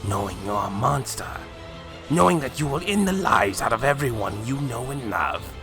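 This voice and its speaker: A man's voice: mid-range voice, expressive, lightly acted. A man playing a sinister, gloating villain who mocks and menaces in a fan-made anime drama, with music behind. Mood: cruel taunting. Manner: mocking, slow, menacing, chuckling. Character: gloating sinister villain possessing a father, cruelly taunting. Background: loud music.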